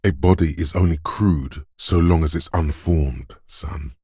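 Gruff video game voice of raw muscle, extremely low, husky and raspy, domineering, scornful coach. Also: male voice, mellow, no echo, clean studio sound, heavily acted. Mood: grave, measured. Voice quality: gravelly, husky, rumbling. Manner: drill-sergeant coaching, scornful, deliberate. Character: gruff, domineering inner voice of brute physical strength. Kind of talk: video game character narration.

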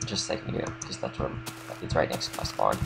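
A young man with a slight cold, casually explaining a game build in a relaxed, rambling way with lots of fillers. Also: quick pace, music in the background. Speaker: game tutorial creator. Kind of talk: casual gameplay tutorial commentary.

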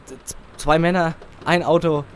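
An actor playing a nervous, overeager young rookie detective who stammers and chatters. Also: male voice, fairly high pitch, steady tone, moderate pace, slight background noise, natural voice. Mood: eager. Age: young adult. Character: eager nervous young male rookie cop. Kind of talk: comedic audio drama.

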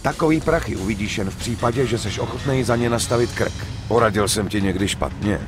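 A low-pitched, persuasive gangster, played by a man in a crime game dub. He talks in blunt slang and sounds sure of himself. Music plays clearly behind him. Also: close to an everyday voice. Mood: calm, persuasive. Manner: blunt, slangy, self-assured. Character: level-headed, persuasive male gangster running a drug deal. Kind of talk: dubbed video game acting.

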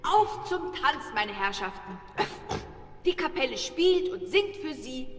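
A woman's voice: high-pitched, sing-song, takes it slowly, faint music behind, exaggerated voice. A woman playing a bossy, festive animal mistress of ceremonies who announces formally in a children's radio play. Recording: echoey hall.

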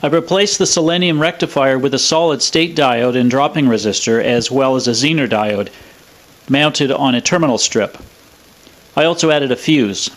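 Male presenter, scripted technical narration, mid-pitched voice. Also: moderate pace. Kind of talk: scripted narration of a radio restoration demo.

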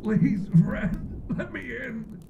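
Man acting a muffled, high-pitched, terrified pleader. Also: gravelly, a little hiss or hum, heavily acted. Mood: desperate pleading. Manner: halting, pleading. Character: terrified, wounded man pleading from outside a door. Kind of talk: horror ASMR audio roleplay. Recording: dull, muffled sound. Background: music.

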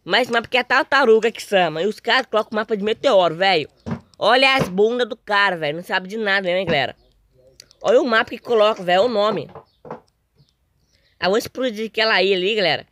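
A young man with a Brazilian accent and a slightly hoarse voice, doing casual, slangy gameplay commentary as a video host. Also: sits high, fast talker, fairly bright tone, slight background noise. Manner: informal, slangy.

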